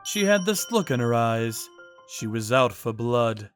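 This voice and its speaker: A man voicing a hardboiled male vigilante who narrates the story in a grim, steady manner in a manga dub. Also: mid-range voice, unhurried, faint music behind.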